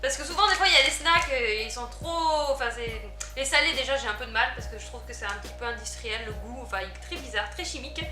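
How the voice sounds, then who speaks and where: A woman chatting through an unboxing vlog, easily delighted and quick to giggle. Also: a little high, pushed and bright, echoey room, soft background music. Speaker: unboxing vlogger. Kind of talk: casual product unboxing chat.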